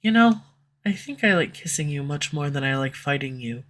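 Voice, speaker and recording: A woman's voice: sits low, lively intonation, moderate pace. A woman voicing a flustered tsundere female villain in love, in an audio roleplay for a listener, defensive at first and then tender. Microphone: USB condenser microphone at home.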